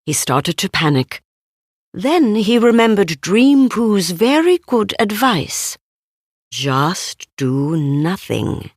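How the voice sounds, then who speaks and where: A woman reads a children's storybook at an unhurried, slow pace. Her pitch moves expressively up and down, and the recording is dry and close. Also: lively intonation, dry recording. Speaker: storybook narrator.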